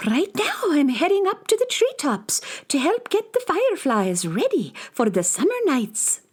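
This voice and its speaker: Very high, swooping little fairy. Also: female voice, wildly swooping pitch, normal speed. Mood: chirpy, friendly. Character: tiny red-haired female fairy, chirpy, busy, friendly. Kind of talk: children's audio drama acting.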